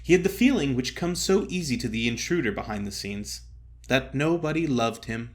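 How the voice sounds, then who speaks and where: A man performs a humorous story as a narrator. His delivery is theatrical and expressive, with dry wit and a pitch that moves widely from phrase to phrase. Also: normal speed. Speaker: narrator, actor. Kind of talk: dramatic comic story narration.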